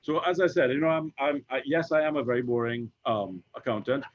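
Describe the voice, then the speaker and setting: A Trinidadian man in his fifties, an insurance executive speaking on a panel, who talks haltingly with many repeated words and fillers. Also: medium pitch. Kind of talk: conversational panel discussion. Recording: dull lo-fi recording.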